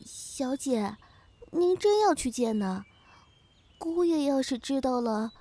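A woman's voice: sits high, animated, slow pace, dark tone, exaggerated voice. A woman playing a pert, loyal young maidservant in a Chinese costume audio drama, speaking in an exclamatory, huffy way. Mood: anxious, obedient.

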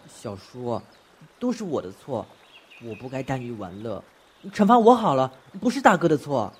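A man's voice: medium pitch, sing-song, moderate pace, slightly rough. An actor playing an earnest, respectful young man who is a dutiful younger brother, in a fan audio drama. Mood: contrite.

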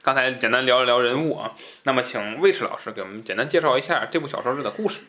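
A man's voice: mid-range voice, fast, bright, forceful tone. A man with a northern Chinese Mandarin accent, hosting a podcast with casual banter and short interjections. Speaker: podcast host.

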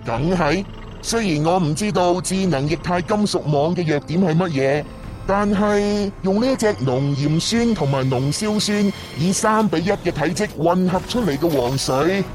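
An actor playing a smug, mocking master thief in a radio drama, sneering and taunting. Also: male voice, sits high, a little mellow. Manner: sneering, taunting, theatrical laughs.

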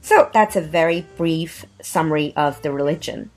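A woman host with a Chinese accent, a native Chinese speaker, asking curious, measured questions. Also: low-pitched, faint music behind. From China. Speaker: podcast host. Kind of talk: interviewing in English.

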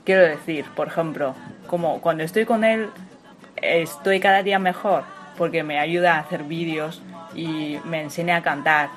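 A woman's voice: sits low, normal speed, faint music behind. A woman in her twenties from China, a sports journalist, speaking as a non-native in a thoughtful interview with touches of humor. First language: Chinese. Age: 20s. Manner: thoughtful, searching for words, light humor. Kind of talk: personal interview.

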